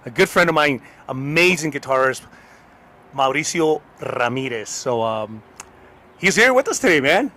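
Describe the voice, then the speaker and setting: A man's voice: medium pitch, animated, moderate pace, pushed and bright, a little hiss or hum. A man co-hosting a casual live chat show, joking around and switching between English and Spanish. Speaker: co-host.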